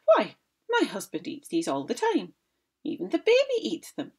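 A woman voicing a clever, bossy giant's wife who talks in a stern, brisk and commanding way. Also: a little high, huge pitch swings, husky, voice pushed up, exaggerated voice. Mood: sly.

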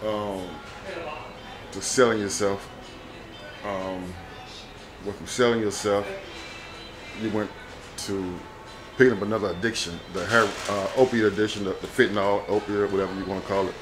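A man doing a casual street interview, recapping and questioning in a halting, repetitive way. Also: fairly low pitch, fairly even intonation, music in the background.

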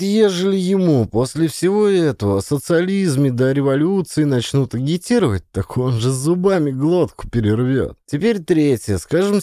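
Man acting a high-pitched, earnest, talkative camp inmate. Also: mellow, dry recording, clean studio sound. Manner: colloquial, emphatic, counting off points. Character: talkative, earnest, optimistic working-class prison-camp inmate.

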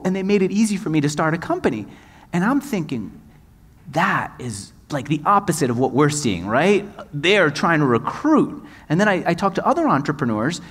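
Animated man moderating a stage panel. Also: fairly high pitch, slightly rough. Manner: expressive, gestures broadly, quotes others in dialogue. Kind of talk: animated onstage storytelling. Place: conference stage before a large audience. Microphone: headset mic.

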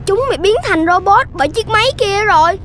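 A woman's voice: very high-pitched, fairly quick. A woman voicing a curious, brave and caring young puppy in a children's cartoon, childlike and earnest. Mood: curious, puzzled. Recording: loud music under the voice. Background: music.

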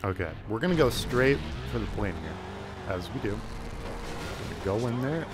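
A young man giving casual, excitable commentary while playing a video game, talking to himself through a headset mic. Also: on the low side, unhurried, music in the background. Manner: excitable, self-mocking, talks to himself. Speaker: gaming YouTuber. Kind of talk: casual let's-play commentary.

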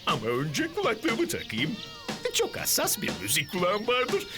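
Theatrical, wildly swooping cartoon shopkeeper. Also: female voice, mid-range voice, huge pitch swings, measured pace, over loud music. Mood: gleeful scheming. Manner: theatrical, mocking, exaggerated delivery. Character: excitable, scornful music-shop keeper who films funny videos. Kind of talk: children's cartoon voice acting.

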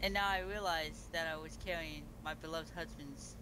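A man's voice: fairly high pitch, steady tone, normal speed, close to an everyday voice. A man voicing a pregnant beast-woman empress who formally welcomes guests to her feast. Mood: stately. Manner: formal, grand speech with restarts. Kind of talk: role-play in a multiplayer game. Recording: noisy game-chat audio.

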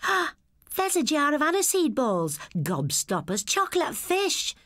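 A woman's voice: high-pitched, unhurried, no room sound, clean studio sound, voice pushed up, exaggerated voice. A woman voicing an eager, restless young English boy in a storybook tale. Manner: eager, animated.

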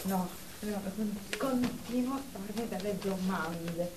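A woman's voice: mid-range voice, close to an everyday voice. A woman playing a nervous, paranoid therapy patient who stammers and repeats herself, in an echoey, noisy, distant recording. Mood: irritated. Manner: halting, stammering, repetitive. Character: nervous, paranoid female patient resenting questions.

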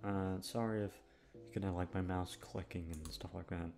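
A man's voice: low-pitched, steady tone, moderate pace, creaky, darkish tone, soft background music. A man chatting casually to his listeners, rambling in a downbeat, complaining way and swearing freely. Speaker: channel host, audio voice actor.

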